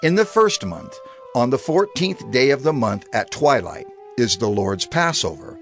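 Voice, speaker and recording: A gravelly lawgiver. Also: male voice, mid-range voice, very animated, a bit bright, faint music behind. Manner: measured, declaiming, authoritative. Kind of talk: dramatized scripture reading.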